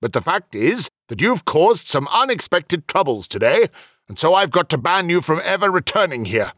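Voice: mid-range voice, big pitch swings, normal speed, a bit bright, dry recording, clean studio sound, pitched above the performer's own voice. A narrator voicing a stern, officious male celestial official with a formal, admonishing manner, in a fantasy audiobook. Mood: softened, apologetic.

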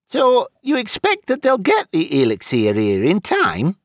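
A narrator doing a high-pitched folksy elderly wizard. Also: huge pitch swings, unhurried, no room sound, clean studio sound. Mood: wry. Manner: folksy dialect phrasing. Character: elderly male wizard, sheepish, hopeful, quibbling, folksy.

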